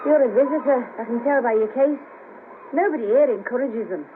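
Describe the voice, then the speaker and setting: Brash, friendly Merseyside sex worker, female, played by an actress, high and blunt, 1970s radio drama, patchy thin tape sound. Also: a little fast, noisy background, natural voice. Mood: chatty. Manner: bawdy, chatty, blunt. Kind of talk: 1970s radio play acting. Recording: patchy narrow-band cassette of 1970s radio broadcast.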